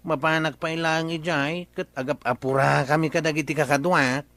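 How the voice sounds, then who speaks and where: A man's voice: fairly high pitch, steady tone, moderate pace, fairly bright tone, no echo. An actor playing an easygoing village man in an Ilocano radio comedy drama. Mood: casual, coaxing. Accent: Filipino, Ilocano. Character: easygoing village man asking to borrow things.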